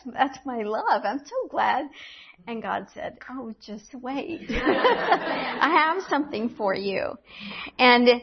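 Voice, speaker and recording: Middle-aged woman Bible teacher with a fairly high voice, earnest and reflective, retelling her faith story on a muffled lo-fi recording. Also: a little hiss or hum. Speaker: women's Bible teacher and speaker. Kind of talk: personal faith testimony. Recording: dull lo-fi recording.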